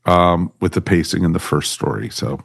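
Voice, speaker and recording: A man's voice: low voice, moderate pace, creaky. A man hosting a podcast in casual, chummy chat, addressing everyone like friends. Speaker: podcast host.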